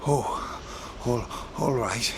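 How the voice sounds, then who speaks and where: A meek, slow, frightened slave. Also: male voice, mid-range voice, gravelly, background noise. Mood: fearful, timid. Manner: hesitant, stammering. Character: frightened, meek male slave left for sacrifice. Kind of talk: video game voice acting.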